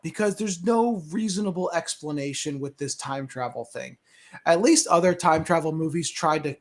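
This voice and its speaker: A man's voice: high voice, darkish tone. A man giving his opinions as a panelist in a casual movie discussion.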